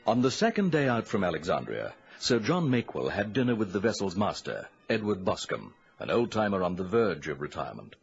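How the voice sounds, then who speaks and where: An actor playing a salvage contractor who narrates a sea mystery in a measured, formal storytelling manner for radio. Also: male voice, lowish voice, big pitch swings, gravelly. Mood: grave, intriguing. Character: male salvage diver recounting a sea mystery, grave storyteller. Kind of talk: scripted first-person radio drama narration. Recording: muffled radio drama with faint music bed.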